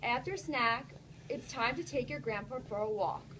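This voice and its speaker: A woman's voice: on the high side, normal speed, background noise. A woman teacher reading a storybook aloud to children, encouraging and instructive, stopping to put questions to the class.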